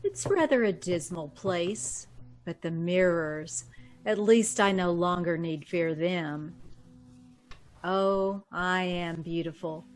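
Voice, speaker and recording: A woman acts out a secretive young woman in love in a 1940s-style radio play. She speaks slowly, with nervous little laughs and halting stammers. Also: on the low side, soft background music. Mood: relieved, musing. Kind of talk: 1940s radio drama acting.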